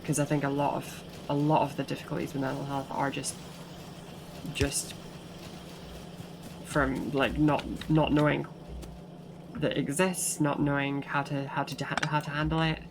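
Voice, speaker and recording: A woman's voice: sits low, flat delivery, measured pace, pauses often, noisy background. A British woman with a shifting mix of English, Scottish and American accent, chatting casually and rambling with many restarts while streaming a game. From Britain.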